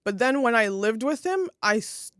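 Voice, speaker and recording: A woman's voice: a little high, expressive, moderate pace, a bit bright, no room sound, studio quality. A young adult woman co-hosting a casual podcast, chatting with lots of filler words. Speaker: podcast co-host. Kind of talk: casual chat.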